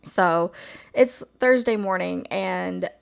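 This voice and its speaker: A woman hosting a solo podcast in a casual, reflective, rambling way, with a slightly hoarse just-woke-up voice. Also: lowish voice, takes it slowly. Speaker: podcast host.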